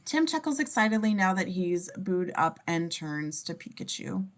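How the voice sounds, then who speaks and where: A woman's voice: on the low side, sing-song, moderate pace. A woman narrating scene descriptions from a script at a table reading. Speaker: stage directions narrator.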